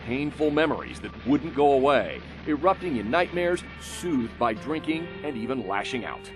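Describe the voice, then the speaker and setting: An American male reporter tells a human-interest story in scripted, dramatic fashion. His pitch moves in lively sweeps through short, emphatic phrases and pauses. Music plays softly under his voice. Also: low-quality recording. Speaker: news reporter narrating a feature story.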